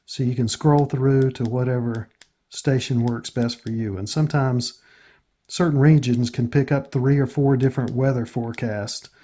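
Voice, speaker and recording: An American man walks through instructions as a tutorial host. His voice is soft-edged and husky, with a dark, relaxed tone. He speaks casually and matter-of-factly, pausing as he goes from step to step. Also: medium pitch.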